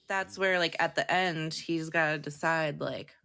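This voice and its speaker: Low-voiced woman chatting casually. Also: steady tone, a little slow, vocal fry, bright, forceful tone, clear, resonant tone. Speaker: podcast panelist. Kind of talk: casual chat.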